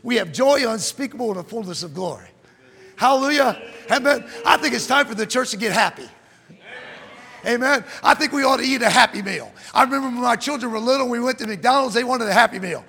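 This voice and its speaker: High-voiced fervent preacher man, gravelly edge. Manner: fervent preaching, rising to shouts, reads scripture aloud. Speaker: pastor. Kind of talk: sermon. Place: church sanctuary. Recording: long church hall reverb.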